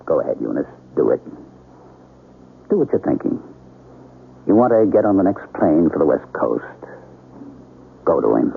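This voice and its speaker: An actor playing a serene, mysteriously knowing man in a 1970s radio drama, speaking in insistent, deliberate short phrases. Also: rumbling low, big pitch swings, creaky, a little hiss or hum, full character voice. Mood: gently insistent. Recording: dull lo-fi old radio broadcast.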